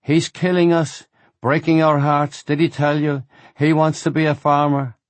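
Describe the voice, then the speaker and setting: A man's voice: fairly high pitch, flat delivery, moderate pace, no room sound, pitched above the performer's own voice, natural voice. A man voicing a distraught older rabbi with a German accent, wailing in exasperation over his son. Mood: anguished. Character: distraught older male rabbi, anguished father.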